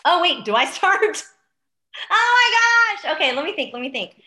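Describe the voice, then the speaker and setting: A middle-aged woman chatting as an interview guest, an animated, expressive storyteller, recorded in an echoey room at home. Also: very high voice, moderate pace, noisy background. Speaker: podcast guest, real estate agent and former news anchor. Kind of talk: casual interview chat. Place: room in a new house.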